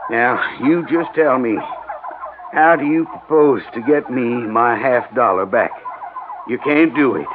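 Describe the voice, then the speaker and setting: An actor playing a blustering, indignant, stingy Southern turkey farmer with a drawl, in old radio drama style. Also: male voice, mid-range voice, measured pace, lightly acted. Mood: flustered, demanding. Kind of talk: 1950s radio drama acting. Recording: narrow-band old radio recording, noisy.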